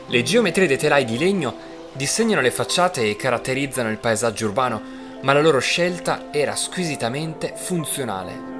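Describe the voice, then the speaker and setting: A man presenting a travel documentary, speaking in a measured way with some hesitations. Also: mid-range voice, expressive. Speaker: travel reporter. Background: music.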